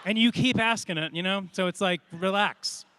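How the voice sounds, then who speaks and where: A man's voice: high-pitched, moderate pace, crowd noise. A man, a voice actor, bantering in a jokey, emphatic way on a live convention panel. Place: large convention panel hall. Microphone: stage microphone. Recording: noisy live convention hall PA.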